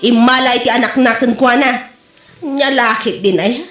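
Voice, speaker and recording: A woman's voice: a little high, normal speed, a bit bright, soft background music, lightly acted. A woman playing a nosy, gleeful married village gossip with an Ilocano Filipino accent in a comedy audio drama. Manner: gossipy, mocking. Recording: roomy, echoing audio.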